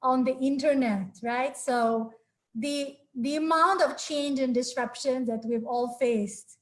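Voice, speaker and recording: A woman's voice: sits high, takes it slowly. A woman in her forties from Ukraine, giving a friendly, earnest webinar talk over a video call, with many fillers and restarts. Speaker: webinar speaker. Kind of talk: presenting a live webinar talk. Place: small home room. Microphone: laptop webcam on a video call.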